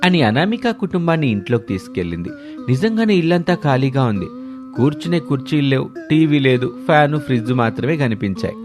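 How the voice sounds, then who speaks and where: A man's voice: mid-range voice, big pitch swings, fairly quick, music in the background. A man reading scripted narration for a moral story as the storyteller.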